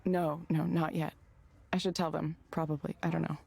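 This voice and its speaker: A woman's voice: low voice, flat delivery, normal speed, gravelly, mellow, husky, exaggerated voice. An actress playing a reserved, subdued woman in a visual novel game, speaking hesitantly and naturalistically. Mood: sheepish. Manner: hesitant, reticent. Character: reserved, subdued woman working as a proxy counselor.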